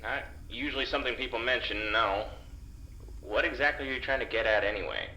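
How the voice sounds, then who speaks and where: A man playing a plain-spoken working-class security guard in a naturalistic audio drama, terse, hesitant and dry, reluctantly soft-hearted. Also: medium pitch, brisk, echoey room, low-quality recording.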